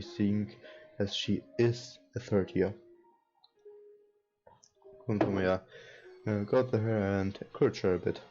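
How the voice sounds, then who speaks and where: A non-native English-speaking man hosting a let's play, reading game text aloud and commenting casually in a hesitant, halting way. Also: on the low side, mellow. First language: not English. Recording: dull lo-fi mic, music behind. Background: game music.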